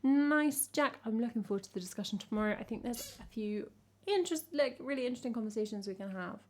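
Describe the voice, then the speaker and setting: A woman from England with a croaky voice, chatting casually with her live-stream chat as a singer-songwriter and teasing herself as she goes. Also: fairly high pitch, lively intonation, fast talker, a little mellow. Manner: chatty, self-teasing, hums melody snippets.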